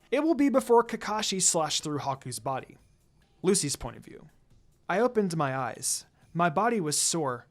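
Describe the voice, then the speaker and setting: Animated higher-pitched man narrating a story. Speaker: fan fiction reader. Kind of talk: dramatic first-person story narration. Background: background music.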